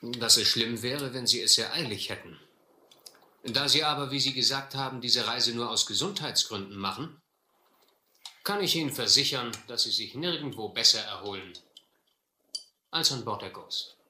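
An actor playing a principled gentleman sailor in an audio drama, formal, restrained and quietly defiant. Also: mid-range voice, moderate pace, pauses often. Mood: pointed. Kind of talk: German audio adventure drama acting. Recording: roomy old radio-play recording.

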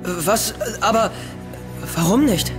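An anxious, dutiful young nephew in an audio drama. The high male voice rings with long reverb over faint music. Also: slow pace. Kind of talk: German audio drama acting.

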